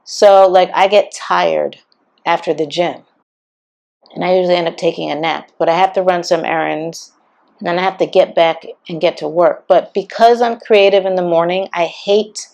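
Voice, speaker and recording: Slightly raspy, lower-pitched woman vlogging. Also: moderate pace, a little mellow. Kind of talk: casual personal vlog narration.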